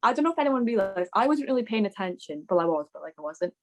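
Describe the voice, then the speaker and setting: A woman's voice: medium pitch, sing-song, fast, crowd noise. Informal woman in casual podcast chat. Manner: informal, peppered with "like". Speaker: motorsport podcast co-host. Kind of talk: casual race review chat.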